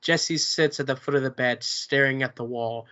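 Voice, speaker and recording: Man, stage directions, steady, bright, sharp, muffled lo-fi. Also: medium pitch, moderate pace. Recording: muffled lo-fi recording.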